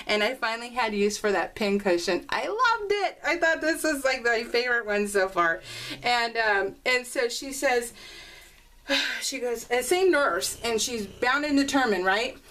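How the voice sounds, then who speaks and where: A woman's voice: on the high side, moderate pace. A woman chatting casually and telling stories in a rambling way as she hosts a craft video, often acting out what people said. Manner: rambling, animated retelling with quoted dialogue; laughs often while talking. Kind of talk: casual chatty storytelling over a scrapbooking process video. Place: indoors at a craft table. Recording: voice distant from the mic.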